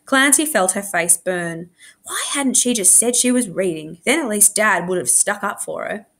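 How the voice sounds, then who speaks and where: A woman reading a children's novel aloud in an animated, expressive storytelling manner, like a school librarian. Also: mid-range voice, normal speed. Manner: animated, acts out dialogue.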